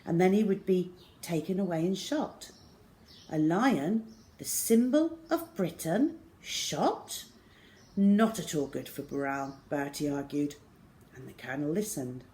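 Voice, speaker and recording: A woman's voice: fairly low pitch, expressive, unhurried, mellow. A middle-aged woman reading a children's story aloud expressively, indoors in a room. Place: small plain room indoors.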